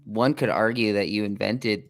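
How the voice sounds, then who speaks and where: A man with a clear, fairly low voice works as a podcast interviewer. He talks informally and wanders through his questions, with a dry, close sound and no room to it. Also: fairly even intonation, normal speed, dry recording. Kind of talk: casual interview chat.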